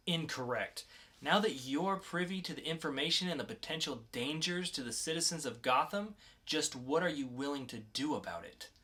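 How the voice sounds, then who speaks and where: Man playing a calm, bright-voiced mysterious interrogator. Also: fairly high pitch. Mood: calm, probing. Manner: formal, measured, deliberate. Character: calm, probing mysterious male interrogator, formal and coaxing.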